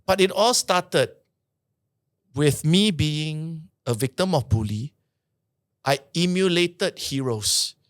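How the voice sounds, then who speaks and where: A man talks as a podcast guest, his voice on the higher side and close to a dry mic. He tells his stories in an animated, quick way and laughs easily between points. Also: dry recording. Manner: animated, quick, laughing often, repeats "yeah". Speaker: podcast guest, trainer and speaker. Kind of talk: casual storytelling chat.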